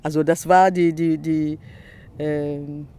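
A woman from the Republic of the Congo with a Congolese accent, whose first language is Teke. She speaks German as an interviewee, searching for words and filling pauses often. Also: sits low, fairly even intonation, mellow, smooth. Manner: halting non-native German, searching for words with many fillers. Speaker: artist, interviewee. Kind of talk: casual interview chat. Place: outdoors in the grass by a lake.

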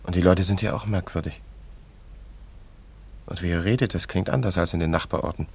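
A man's voice: deep voice, fairly even intonation, brisk, vocal fry, darkish tone, breathy edge, full character voice. A man acting in a German radio play as a curious, friendly young travelling painter, polite and full of wonder. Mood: puzzled, curious.

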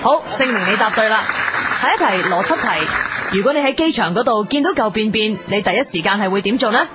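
A woman playing a formal, brisk and absurdly biased school quiz host in a comedy radio sketch, with a Hong Kong Cantonese accent. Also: medium pitch, expressive, close to an everyday voice. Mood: brisk, deadpan. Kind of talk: comedy radio sketch as game show hosting. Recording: music behind the voice.

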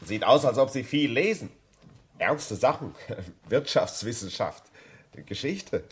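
An actor playing a concerned, educated gentleman visitor in a 1950s German radio drama, urbane and probing. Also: mid-range voice, big pitch swings, takes it slowly. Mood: friendly, curious. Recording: muffled-edged 1950s radio drama recording.

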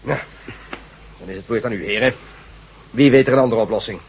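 An actor playing a domineering chief in a science fiction radio drama, giving blunt orders with a sardonic edge. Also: male voice, fairly low pitch, brisk, a little hiss or hum, natural voice. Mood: brisk, commanding. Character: gruff, domineering male chief of a group. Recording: dull lo-fi radio drama recording.

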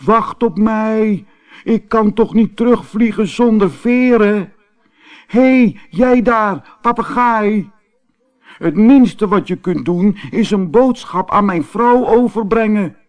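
Man playing a high-pitched, bossy, greedy tortoise. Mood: panicked, bossy. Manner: pleading, emphatic, drawn-out delivery. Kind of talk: storybook character voice.

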